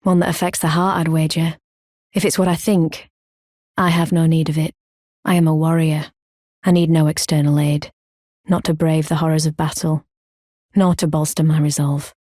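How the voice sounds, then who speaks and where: An actress voicing a proud, earnest warrior woman in a video game, speaking in a solemn, measured, formal old-fashioned way. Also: deep voice, little pitch movement, mellow, no echo, clean studio sound. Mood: firm, proud. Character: proud, earnest woman warrior, grown, stoic and honour-bound. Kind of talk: video game character acting, formal archaic speech.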